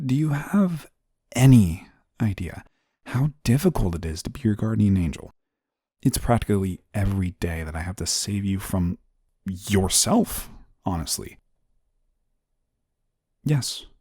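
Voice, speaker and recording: A man's voice: on the low side, dark tone, slightly rough, no echo, studio quality, heavily acted. A man playing an exasperated but protective guardian angel in an audio roleplay, scolding the listener emphatically. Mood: earnest, exasperated.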